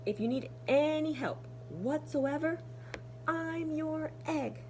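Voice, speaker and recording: A woman voicing an earnest, proud, helpful male egg from a children's storybook, speaking deliberately and emphatically. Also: sits high, background noise, pitched above the performer's own voice. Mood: cheerful, proud.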